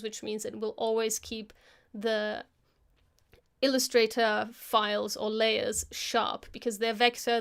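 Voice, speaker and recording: A woman hosting a live stream, casually talking through a software tutorial as she works, thinking aloud into a close microphone. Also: fairly high pitch, smooth. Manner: casual, chatty. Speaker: host of an animation live stream.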